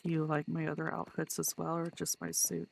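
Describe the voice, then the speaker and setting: Stuffy-nosed streamer with a gravelly voice. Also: mid-range voice, monotone, fairly quick, slight background noise. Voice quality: nasal. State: congested from a cold. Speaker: roleplay streamer. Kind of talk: casual stream chat and in-character roleplay.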